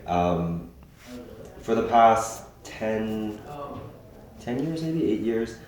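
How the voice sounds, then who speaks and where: A young man from Hawaii, a filmmaker being interviewed, speaking thoughtfully with many pauses and restarts. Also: fairly low pitch, big pitch swings, darkish tone. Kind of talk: casual interview talk. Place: festival venue indoors. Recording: very echoey room.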